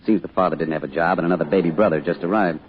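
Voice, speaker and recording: A man's voice: deep voice, steady tone, racing, close to an everyday voice. A man playing a loving, wistful small-town father in an old-time radio drama, with a folksy, reflective delivery. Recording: muffled old radio recording.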